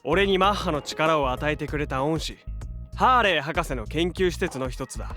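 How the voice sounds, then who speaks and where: Young male hero, audio drama: brash, casual, lightly high voice, very lively intonation. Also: moderate pace, soft background music. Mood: solemn, proud. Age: young adult. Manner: casual, cocky, expressive slangy speech.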